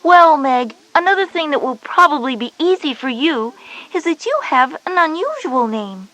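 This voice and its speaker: A woman's voice: high-pitched, sing-song, normal speed, fairly bright tone, poor audio. A woman playing a knowing, slightly bossy older-sister schoolgirl, explaining things emphatically in an educational audio story. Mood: helpful, chatty.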